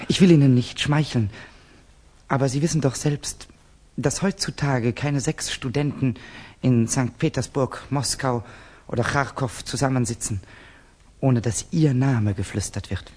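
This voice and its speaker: An actor playing a guarded young student in a 1960s German radio play, speaking with formal, measured courtesy. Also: male voice, medium pitch, a little mellow, close to an everyday voice. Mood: earnest.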